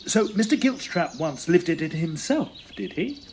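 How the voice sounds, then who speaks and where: A man's voice: on the high side, sing-song, moderate pace, slight background noise. A man playing a courteous, slightly flustered Edwardian house agent in an audio drama, speaking formally in an English accent. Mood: businesslike, inquisitive. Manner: formal, fussily polite, theatrical. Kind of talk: audio drama acting with first-person narration.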